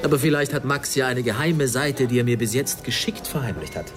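A man's voice: medium pitch, fairly quick, lightly acted. A man playing a blunt, witty, slightly pompous intellectual in a radio play, teasing in an articulate, theatrical manner.

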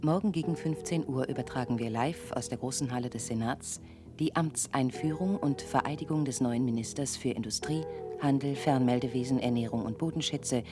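A formal female news announcer in a radio play. Her voice is exceptionally deep and measured as she reads bulletins. Music plays clearly behind her. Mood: official, neutral. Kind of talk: scripted news reading within radio drama.

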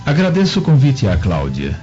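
A man's voice: medium pitch, huge pitch swings, a little fast, dark tone. A man playing a stern, jealous Roman senator in a radio drama, speaking formally and curtly. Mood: cold irritation. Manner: formal, curt. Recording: muffled radio drama with music.

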